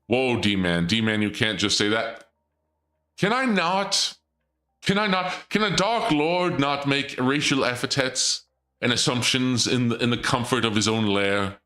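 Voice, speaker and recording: A young man streaming and chatting casually, rambling and joking in a theatrical dark-lord persona. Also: on the low side.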